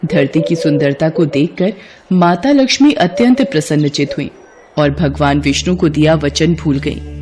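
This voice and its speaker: A woman's voice: low voice, moderate pace. A woman narrator telling a scripted devotional folk tale in a storytelling style.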